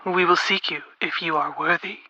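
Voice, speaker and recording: An actress playing an aloof gatekeeper of a secretive order in a game, formal and measured, on slightly muffled audio. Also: female voice, on the low side, fairly even intonation, a crowd in the background, close to an everyday voice. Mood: aloof, cryptic.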